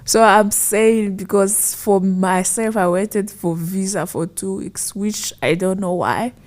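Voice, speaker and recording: A young woman from Rwanda telling her story as a podcast guest, soft-spoken and thoughtful, her voice trailing off at phrase ends. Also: mid-range voice, takes it slowly. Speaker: guest, former foreign student. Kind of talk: conversational storytelling.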